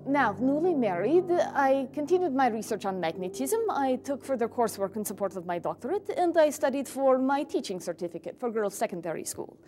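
Woman doing earnest lady scientist, high voice, formal careful phrasing. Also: animated, a little fast, hardly any pauses, a little mellow, faint music behind. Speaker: living history performer. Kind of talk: one-woman stage monologue. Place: dark stage set, indoors.

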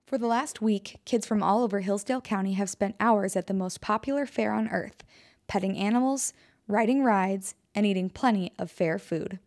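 Uma jovem repórter narra uma matéria roteirizada com voz de altura média e tom animado. A leitura é clara e segura, no ritmo de um noticiário estudantil. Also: female voice, medium pitch, normal speed, darkish tone. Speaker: student reporter. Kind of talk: scripted news narration.

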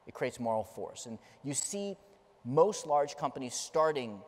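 A man's voice: medium pitch, very animated, measured pace, a little hiss or hum. A middle-aged man giving a conference keynote, telling stories with emphasis as he gestures and moves around a large stage. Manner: emphatic, storytelling delivery, gesturing while pacing the stage. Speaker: keynote speaker. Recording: long reverb of a large hall.

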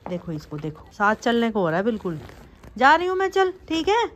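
A woman's voice: a little high, sing-song, fairly quick. A middle-aged woman chatting casually as a vlogger, recorded on a phone at arm's length. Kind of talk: casual vlog chat to camera and family. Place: small home rooms and inside a car.

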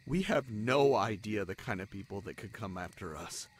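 A man playing an anguished, self-sacrificing young spider-folk lover in a romantic audio drama, halting and pleading in manner. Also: fairly low pitch, animated, slight background noise, close to an everyday voice. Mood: fearful, urgent.

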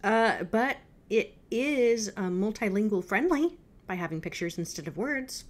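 A woman's voice: medium pitch, animated, a little slow. A woman in her thirties giving casual, off-the-cuff reaction commentary at home. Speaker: reaction video host. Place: indoor room at home.

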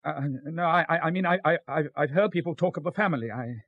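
A man's voice: fairly high pitch, fast talker, no echo, narrow, tinny audio. A man playing a troubled, evasive British husband in a 1970s radio drama, with a hesitant, clipped delivery. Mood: panicked, evasive. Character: troubled, evasive middle-class husband, shaken and unwell.

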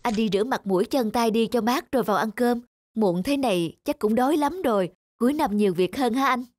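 A woman playing a practical, hard-working young mother in a cartoon, gently firm as she explains things. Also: a little high, animated, a little fast, no room sound, clean studio sound. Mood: caring. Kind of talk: cartoon voice acting.

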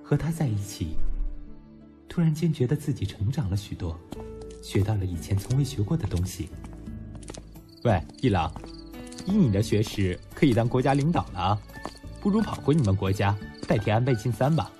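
A man playing a blunt, teasing young university student who is quick to flare up, in an audio drama that he also narrates. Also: on the low side, very animated. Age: young adult. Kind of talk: Mandarin audio drama acting and first-person narration. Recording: background music under the voice.

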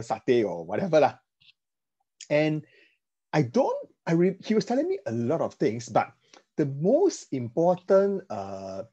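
A middle-aged man with a Singaporean accent, informally sharing personal stories in a halting, conversational slide presentation. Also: mid-range voice, warm tone, slightly rough, muffled recording. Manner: conversational, halting, with "right" tags. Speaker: speaker sharing financial advice with a youth group.

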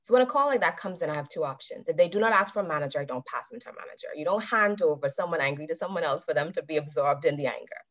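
A woman in her thirties giving a calm, measured talk to a club over a video call and putting questions to her listeners. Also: sits low. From Bahamas. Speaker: club speaker. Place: indoors with a virtual background. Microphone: webcam on a video call. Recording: dull video-call audio.

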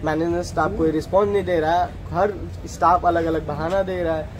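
A man's voice: fairly high pitch, fairly even intonation, a little fast. A man in his twenties, a college student, chatting casually outdoors. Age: 20s. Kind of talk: casual chat. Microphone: friend's phone at arm's length. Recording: noisy outdoor phone recording, crowd chatter.

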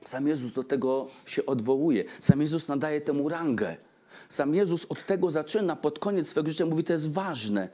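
A man's voice: fairly high pitch, normal speed. A man in his forties giving a Christmas sermon at a lectern mic in a church hall, gesturing and putting questions to the congregation. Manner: animated, asks the congregation questions, gestures broadly. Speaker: preacher. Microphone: stand mic at lectern.

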